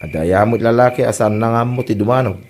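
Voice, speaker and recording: Man acting an indignant, protective husband, mid-pitched. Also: quick pace, a little mellow, natural voice. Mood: puzzled, reluctant. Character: protective husband and older brother, reluctant then confrontational. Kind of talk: comedy drama voice acting in Ilocano.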